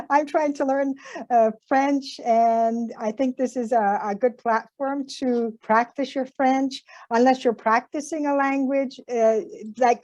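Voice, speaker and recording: A woman's voice: on the high side, a little mellow, muffled recording. A woman introducing herself at an online club meeting in a light, self-deprecating way. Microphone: video call.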